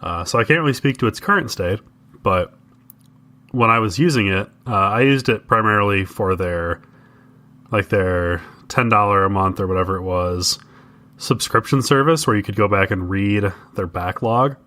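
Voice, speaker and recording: A man's voice: lowish voice, moderate pace, pushed and bright. A man co-hosting a podcast, explaining things in casual conversation. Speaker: podcast co-host.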